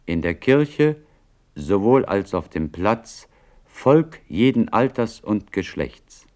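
A man narrating stage directions for a radio play in a formal, deliberate, scripted manner. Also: on the low side, very animated, darkish tone.

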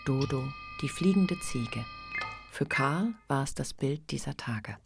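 A female storyteller in a literary radio drama. Her voice sits very low for a woman and her delivery is composed, with music clearly behind it. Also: expressive, a little slow, full character voice. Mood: composed, storytelling. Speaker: narrator.

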